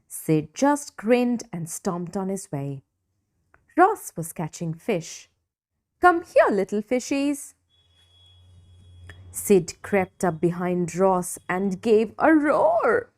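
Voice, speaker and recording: Slow, lively woman reading a children's story. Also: mid-range voice. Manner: deliberate, dramatic emphasis for young listeners. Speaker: storybook reader. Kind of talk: expressive children's story narration.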